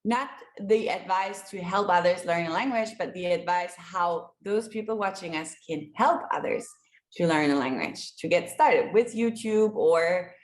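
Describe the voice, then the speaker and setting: A woman's voice: fairly low pitch, a little slow. Woman moderator in an echoey room. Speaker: panel moderator. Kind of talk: live conference panel moderating.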